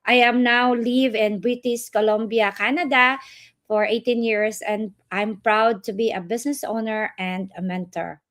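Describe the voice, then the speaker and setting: A woman's voice: fairly high pitch, fairly even intonation, moderate pace, fairly bright tone. A woman from the Philippines, a former nurse, formally introducing herself.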